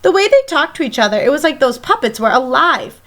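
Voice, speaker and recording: A woman teacher reading a picture book aloud to children in an expressive, emphatic storytelling manner. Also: high voice. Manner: animated, emphatic, pauses to question young listeners.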